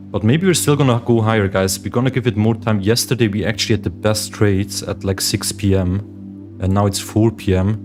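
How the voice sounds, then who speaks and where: Chatty low-voiced man streaming live. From Switzerland. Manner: chatty, addresses viewers as guys, reads chat aloud. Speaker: livestream host, day trader. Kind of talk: casual livestream chat. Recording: meditation music playing behind the voice.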